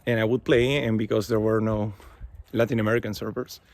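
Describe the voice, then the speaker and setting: A man's voice: fairly low pitch, level tone, a little fast, darkish tone. A young man from Chile with a Chilean Spanish accent, talking casually in English to his vlog audience and leaning on fillers like "like" and "you know". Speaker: vlogger. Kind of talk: casual talking-head monologue. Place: outdoors.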